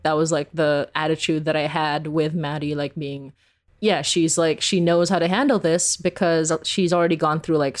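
A woman from the Philippines chatting casually as an interview guest, informal and giggly, peppering her talk with "like". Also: low voice. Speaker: interview guest, horror audio drama creator.

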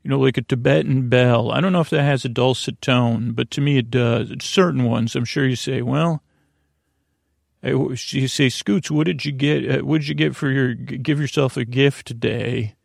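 Creaky guy doing a bedtime podcast, rambles and stumbles off on tangents, close dry sound. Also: medium pitch, dry recording. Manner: halting, self-interrupting, trailing into tangents. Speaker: sleep podcast host. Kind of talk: rambling, meandering bedtime monologue.

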